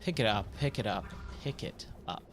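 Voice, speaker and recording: A man with a slightly hoarse, scratchy voice giving casual, rambling game commentary and thinking aloud as he plays. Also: lowish voice, expressive, moderate pace, a bit bright. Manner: rambling, self-questioning. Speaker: game streamer, host of a let's play.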